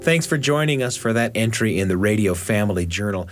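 Male radio host-storyteller, reflective and carefully phrased, expressive melody, dry studio sound. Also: on the low side, fairly quick, no echo, faint music behind. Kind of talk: scripted personal storytelling and radio narration.

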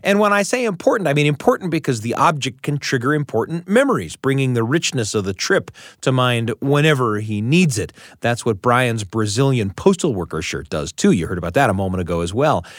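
A man's voice: medium pitch, big pitch swings, a little fast, few pauses, dry recording, clean studio sound. A man hosting a radio storytelling show, speaking in a scripted, conversational presenter style.

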